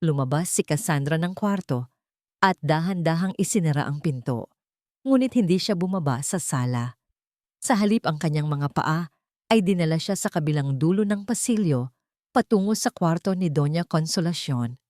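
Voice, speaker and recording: Woman, lowish pitch, dramatic scripted narration, very little room sound. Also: lively intonation, normal speed, darkish tone, no room sound, clean studio sound. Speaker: story narrator.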